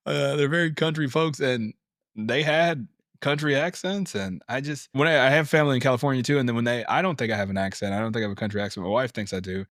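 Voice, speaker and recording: A young adult man co-hosting a casual podcast chat, animated, swearing casually and laughing often. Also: medium pitch, quick pace, no echo, studio quality. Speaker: podcast co-host.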